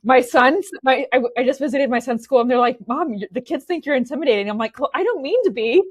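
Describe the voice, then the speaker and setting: A woman's voice: high-pitched, animated, quick pace, dry recording. Chatty woman from Romania, dry sound. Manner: chatty, many restarts and "okay?" tags. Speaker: guest. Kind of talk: casual interview chat.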